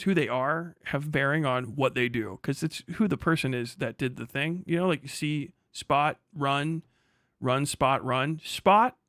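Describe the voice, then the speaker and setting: A man's voice: mid-range voice, a bit bright, dry recording, clean studio sound. A man livestreaming casual reaction commentary, swearing freely, mocking and often laughing as he talks. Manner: profane, mocking; laughs often while talking.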